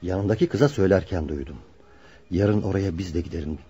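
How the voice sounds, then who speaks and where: A man's voice: sits low, fairly quick, warm tone, breathy edge, lo-fi sound. A man acting a loyal, earnest young friend who insists on the truth in a Turkish radio drama.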